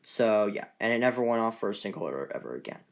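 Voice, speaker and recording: A man presenting his collection in a casual, unscripted video, speaking haltingly and often trailing off. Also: fairly low pitch, expressive. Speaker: collector presenting weather radios. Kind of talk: casual unscripted show-and-tell.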